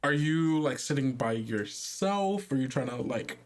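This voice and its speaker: Slow-paced man running a tabletop game. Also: medium pitch, lively intonation. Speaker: game master. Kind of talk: casual improvised tabletop game narration.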